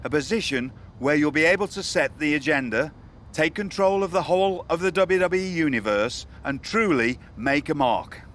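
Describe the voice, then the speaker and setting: A man playing a smooth-talking, evasive wrestling show manager in a video game cutscene. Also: fairly high pitch, lively intonation, normal speed, a bit bright, slight background noise. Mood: courting, pleased.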